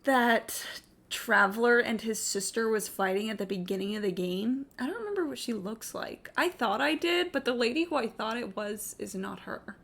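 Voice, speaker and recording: A woman's voice: a little high, animated, normal speed, vocal fry, hardly any pauses, fairly bright tone. A woman live-streaming a game with casual, joking, sweary and exclamatory commentary. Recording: game music behind the voice.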